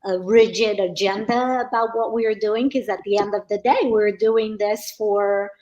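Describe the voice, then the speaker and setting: A middle-aged woman from Brazil with a Brazilian accent, a native Portuguese speaker, talking informally and haltingly on an online panel. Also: mid-range voice, fairly even intonation, mellow, muffled recording. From Rio de Janeiro, Brazil.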